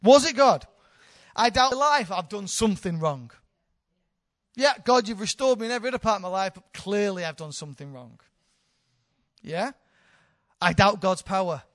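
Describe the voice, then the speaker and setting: A woman's voice: medium pitch, lively intonation, moderate pace, dry recording, clean studio sound. A woman in her thirties, a church leader, giving an informal, candid sermon with self-deprecating humour. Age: 30s.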